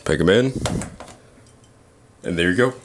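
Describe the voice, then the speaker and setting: Male reviewer, low voice, informal hands-on demonstration, some background noise. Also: animated, a little slow. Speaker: toy reviewer. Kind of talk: casual hands-on product demonstration. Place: indoors at a tabletop display. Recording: clear background noise, handling clicks.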